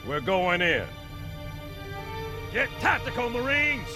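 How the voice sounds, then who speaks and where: A man playing a gruff, cocky, battle-hardened Marine sergeant with a commanding bark in a video game. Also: very high-pitched, sing-song, slow pace, over music, heavily acted. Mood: fired up. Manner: barked, commanding, profane bravado. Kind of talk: video game cutscene acting.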